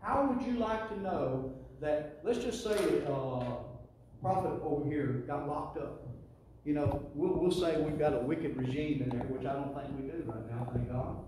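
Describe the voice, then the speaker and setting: A man's voice: a little high, moderate pace, mellow. A middle-aged man with a Southern US accent preaching a sermon in a folksy, emphatic way, repeating phrases and calling on the congregation to respond. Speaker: pastor preaching to a church congregation. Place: church sanctuary. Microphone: handheld mic through PA, filmed from the pews. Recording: echoey church PA, distant, faint noise.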